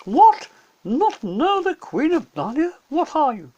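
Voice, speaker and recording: A man's voice: extremely high pitch, wildly swooping pitch, slow, darkish tone, highs cut off, pitched above the performer's own voice, full character voice. A man voicing an imperious, stern witch queen in a storybook reading, with a haughty, commanding manner. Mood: imperious anger.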